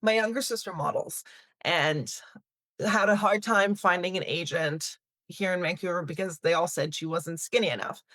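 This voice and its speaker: A chatty woman in her thirties, raised in Canada, speaks at the lower end of women's pitch. She is recorded close and dry, with no room sound. She talks easily, with lots of "um"s and restarts. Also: normal speed, fairly bright tone, no echo. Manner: chatty, many fillers and restarts, laughs lightly. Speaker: talent agency CEO. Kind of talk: casual interview answers.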